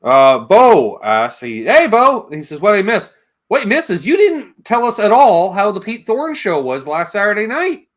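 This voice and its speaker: A man's voice: high voice, sing-song, measured pace, a bit bright. A man hosting a livestream in a casual, chatty way, wandering between asides and viewer comments. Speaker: livestream show host.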